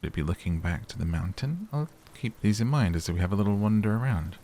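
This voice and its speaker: Low-voiced man chatting through a cozy game. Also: expressive, fast talker. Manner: easygoing, chatty, addresses viewers as guys. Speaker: gaming YouTuber. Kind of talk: relaxed game playthrough commentary. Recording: game music playing behind. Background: soft game music.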